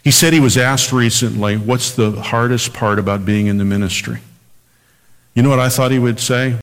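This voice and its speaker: A man preaching a radio sermon, earnest, slowing down when he quotes scripture. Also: mid-range voice, steady tone, smooth, in a large hall. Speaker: pastor.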